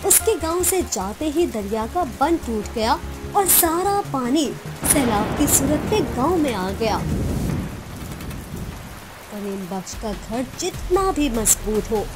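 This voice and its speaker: A woman narrates a cartoon moral tale from a script. Her voice is high, and music plays clearly behind it. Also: big pitch swings, slow.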